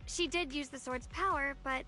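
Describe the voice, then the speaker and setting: A woman's voice: high-pitched, moderate pace, bright, forceful tone, soft background music. An actress playing an earnest, hesitant young swordswoman in a video game, apologetic and burdened by a solemn other self.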